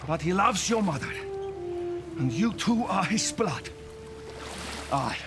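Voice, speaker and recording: A loyal Viking guardian in a cinematic game. The voice sits high for a man and sounds earnest and resolute, with clear dramatic music behind it. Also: very animated, takes it slowly, exaggerated voice. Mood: resolute, reassuring. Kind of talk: cinematic video game acting.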